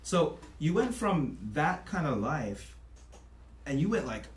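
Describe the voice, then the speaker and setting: A man hosting a comedic talk show, playfully teasing his guest and shouting the show intros. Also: on the high side, very animated, slow pace, reverberant.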